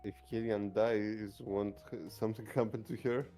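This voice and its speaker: A man's voice: lowish voice, little pitch movement, normal speed, warm tone. A man playing a tabletop roleplaying game and chatting casually in character. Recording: music behind the voice.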